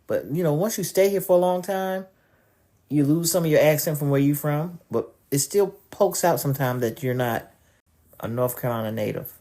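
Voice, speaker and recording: A woman from New York co-hosting a relaxed chat show, easygoing and quick to drop into slang. Her voice is very low, with a dark, mellow tone. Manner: laid-back, slips into street slang. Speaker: podcast co-host. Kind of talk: casual chat.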